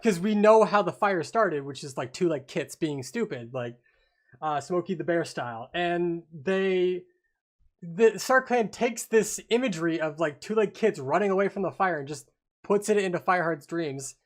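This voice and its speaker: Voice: mid-range voice, moderate pace. Podcast host, mid-range voice, informal, sweary, casual book discussion.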